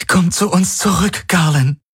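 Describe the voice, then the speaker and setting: A man's voice: high-pitched, unhurried, husky, voice pushed up, exaggerated voice. A man voicing a desperate young woman who urgently pleads with her lover, partly in whispers. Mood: pleading.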